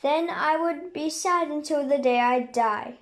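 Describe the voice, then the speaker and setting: Woman as anguished brother begging to take another's place, very high voice, halting delivery, amateur scripture drama. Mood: pleading. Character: anguished brother pleading to be enslaved instead.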